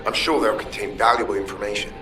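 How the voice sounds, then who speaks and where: Man as a low-voiced field operative. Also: fairly even intonation, fast talker, lightly acted. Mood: businesslike. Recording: distant, music behind.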